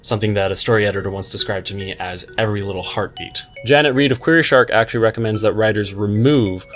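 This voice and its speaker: A man's voice: lowish voice, fast talker, a bit bright, soft background music. A man giving a scripted book review commentary in a wry, tongue-in-cheek, slangy way. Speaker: book reviewer, video host. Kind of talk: scripted video essay commentary with slangy asides.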